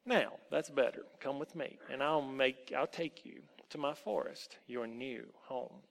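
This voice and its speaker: Storybook villain: nasty old male elf, played by a man, gravelly, sneering, in long reverb. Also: mid-range voice, a little slow, a bit bright. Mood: bossy. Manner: mocking, sneering, bossy.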